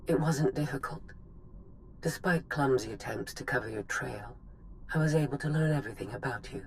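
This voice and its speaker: A man playing a cold, superior rogue droid in a video game, speaking in formal, measured phrases. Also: medium pitch, vocal fry, a little hiss or hum, natural voice. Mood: superior, assured. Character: cold, self-freed rogue droid hungry for freedom. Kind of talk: dramatic video game cutscene acting.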